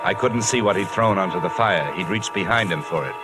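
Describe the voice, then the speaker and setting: A man's voice: low-pitched, a little fast, over loud music, lightly acted, low-quality recording. A man playing a wry, hard-boiled investigator in an old radio detective drama, with clipped, sardonic delivery. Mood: uneasy narration. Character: wry, hard-boiled male insurance investigator narrating his case. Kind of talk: old-time radio detective narration and dialogue.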